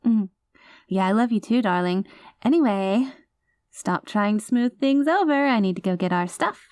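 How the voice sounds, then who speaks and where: A woman's voice: mid-range voice, expressive, normal speed, darkish tone, no room sound, studio quality, lightly acted. A woman acting an anxious, clingy, doting girlfriend with playful menace, in an intimate, emotive audio roleplay. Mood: bossy, affectionate. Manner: emotive, flustered, affectionate, teasing.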